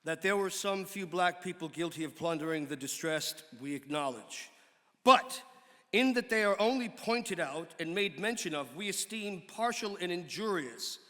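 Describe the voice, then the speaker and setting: A man giving a measured, formal dramatic reading of an old historical pamphlet. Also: high voice, lively intonation, a bit bright. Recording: long hall reverb.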